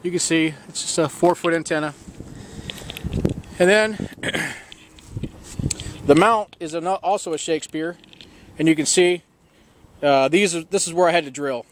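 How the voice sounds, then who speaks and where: A man's voice: fairly high pitch, a little slow, long pauses, slight background noise. A man hosting a DIY video in a casual, unscripted way, informal and self-deprecating. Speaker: video host, DIY boat owner. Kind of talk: casual, unscripted show-and-tell vlog.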